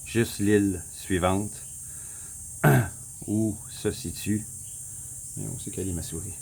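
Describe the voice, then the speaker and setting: A man with a Québécois accent, casually walking viewers through a map on his screen, rambling, repeating himself and clearing his throat often. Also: on the low side, fairly even intonation, takes it slowly, darkish tone, slight background noise. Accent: Québécois French. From Quebec. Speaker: vlogger. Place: home in the Philippines.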